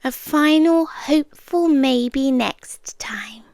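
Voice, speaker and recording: A woman with a British accent playing an ancient, obsessive cosmic being in an intimate ASMR roleplay, speaking softly and deliberately, often whispering. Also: sits high, creaky, no room sound, exaggerated voice. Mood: tender, wistful. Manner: soft, deliberate, drifting into whispers. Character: ancient female cosmic entity, obsessive yandere girlfriend, wistful and sinister.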